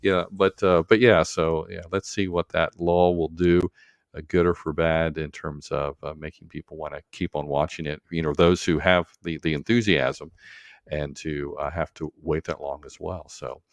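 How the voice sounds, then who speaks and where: Podcast host, male, low and clear-toned, halting and conversational, recorded dry. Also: level tone, dry recording.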